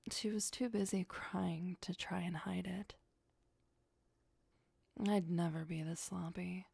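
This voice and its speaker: A woman playing a possessive, sweetly menacing vampire girlfriend who is centuries old, in a soft, intimate ASMR roleplay, mostly whispered and close to the microphone. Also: lowish voice, fairly even intonation, slow pace, no room sound, exaggerated voice. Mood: disdainful, menacing. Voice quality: breathy, soft. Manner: coaxing, darkly playful, obsessive. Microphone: close, dry microphone.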